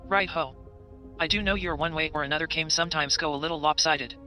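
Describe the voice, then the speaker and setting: Audio-drama companion, male actor: lightish high register, prudent, composed, wry guidance, prominent music underneath. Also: fast talker, natural voice. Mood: cautious, dry. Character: cautious, level-headed companion giving calm guidance. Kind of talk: scripted audio drama acting.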